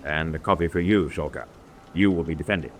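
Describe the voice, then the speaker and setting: An actor playing an authoritative senior German naval officer in a radio drama, with a formal, commanding, measured delivery. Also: male voice, extremely low pitch, a little hiss or hum. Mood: firm authority.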